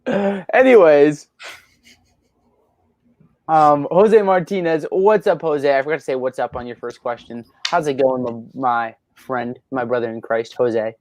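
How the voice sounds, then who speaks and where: A man's voice: on the high side, a little slow, darkish tone, no echo, dull audio. A nineteen-year-old man hosting a casual Q&A from home, upbeat and teasing, laughing often as he reads out viewer questions. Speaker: host of a Q&A livestream. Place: at his rural home.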